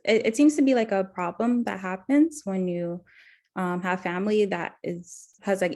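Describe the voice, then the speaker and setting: A woman in her twenties plays the counselor in a mock intake conversation. Her delivery is halting, full of fillers and self-corrections, at a middling pitch for a woman. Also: a little mellow. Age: 20s. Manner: hesitant, heavy on fillers and restarts. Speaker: counselor in a practice session.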